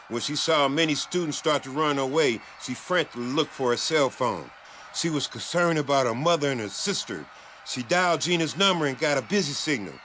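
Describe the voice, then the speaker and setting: Male audiobook narrator, dramatic scripted reading, lively pitch movement, forceful bright tone, faint background noise.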